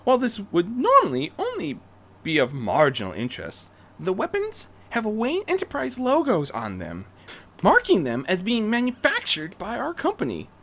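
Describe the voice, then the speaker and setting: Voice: medium pitch, huge pitch swings, moderate pace, fairly bright tone, voice pushed up, heavily acted. A performer voicing a young female unicorn vigilante, refined and determined, speaking in an earnest, theatrical, articulate way for a fan fiction reading. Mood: defiant, earnest.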